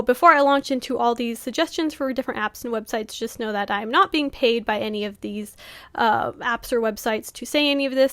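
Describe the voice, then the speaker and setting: A woman author talking casually to camera, full of fillers and small laughs, whose voice is going hoarse. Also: fairly high pitch, fairly bright tone, no echo. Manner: chatty, many fillers, laughs often. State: losing her voice. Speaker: author vlogger.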